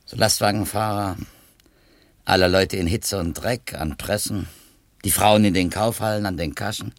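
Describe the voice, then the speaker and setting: A man's voice: fairly low pitch, fairly even intonation, moderate pace, close to an everyday voice. An actor playing a reflective, educated publishing editor in a 1970s East German radio play, searching for words as he talks. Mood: self-conscious, musing. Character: thoughtful, educated male publishing editor, curious and uneasy.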